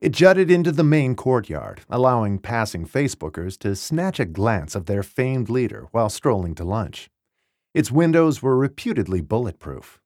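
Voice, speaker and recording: A man's voice: medium pitch, sing-song, moderate pace, darkish tone, no room sound. A man reading a first-person memoir as scripted audiobook narration, articulate and wry, recorded close in a dry studio.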